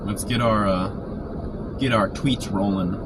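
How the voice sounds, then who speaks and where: A man with a sore throat from a cold, keeping his voice quiet and low while chatting casually on a live stream from inside a parked car. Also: steady tone, a little slow. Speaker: storm chaser live-streaming. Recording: noisy car interior. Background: car and outdoor traffic noise.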